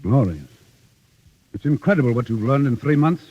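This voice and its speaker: An actor playing a devoted, exacting acting teacher with precise, theatrical diction, in an old-time drama style. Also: male voice, medium pitch, lively intonation, normal speed, dark tone, breathy edge. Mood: pleased, earnest. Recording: dull, muffled vintage recording.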